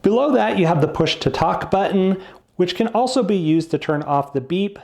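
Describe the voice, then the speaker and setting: A man's voice: sits high, animated, moderate pace, creaky, darkish tone. A man giving a casual product review, explaining things plainly, step by step.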